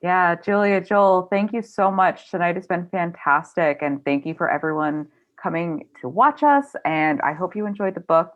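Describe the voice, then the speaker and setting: A woman's voice: lowish voice, animated, moderate pace, few pauses, muffled recording. A woman moderating a bookstore's virtual author event, casual and upbeat as she reads out audience questions and wraps up. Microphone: video call.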